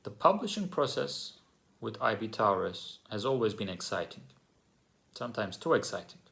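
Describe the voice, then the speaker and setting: Male author from Turkey, lowish gravelly voice, measured prepared reading. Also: sing-song, a bit bright. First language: Turkish.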